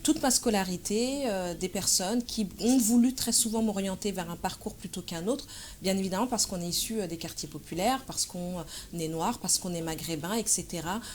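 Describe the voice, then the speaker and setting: A woman of about forty from France, answering interview questions in a measured, hesitant way with frequent pauses and fillers. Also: mid-range voice, a little hiss or hum. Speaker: interview guest, association president.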